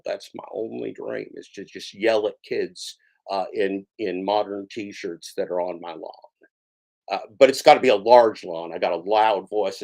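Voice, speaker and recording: Low-voiced rambling folksy man host. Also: gravelly, a little mellow. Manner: folksy, mock-grandiose, many filler "uh"s; repeats phrases for comic effect. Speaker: livestream host. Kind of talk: rambling livestream monologue.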